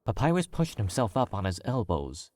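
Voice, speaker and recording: A man narrating a fan fiction as an audiobook, in a measured, wry storytelling manner. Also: lowish voice, sing-song, a little mellow, a little hiss or hum.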